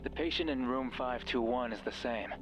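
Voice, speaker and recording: A man playing a mysterious, controlling horror game guide with a measured, ominous and coaxing delivery. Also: mid-range voice, music in the background, lightly acted, low-quality recording. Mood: grim, pressing. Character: mysterious controlling male radio voice guiding a listener, sinister. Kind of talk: horror visual novel voice acting.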